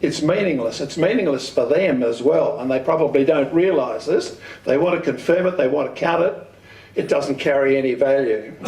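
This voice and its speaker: Older male lecturer, deliberate and questioning, slightly raised pitch, recorded at a distance from the microphone. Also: a little mellow. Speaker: speaker giving an amateur radio talk. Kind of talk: instructional lecture with questions to the audience. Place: community centre meeting room. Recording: echoey hall, distant microphone.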